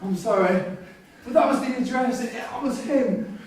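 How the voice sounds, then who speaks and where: An actor playing a stubborn, cheeky prisoner, blunt and defensive, in a staged reading. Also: male voice, very high voice, normal speed. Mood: defensive, insistent. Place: theatre room at a live staged reading. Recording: distant, very echoey hall, faint noise.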